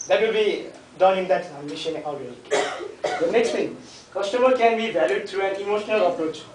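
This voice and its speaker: High-voiced young man. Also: fairly quick, a little mellow, slight background noise. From Nepal. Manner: hesitant, jokey, addresses the class. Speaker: student presenter. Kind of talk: class presentation. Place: classroom. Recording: echoey classroom, mic far off.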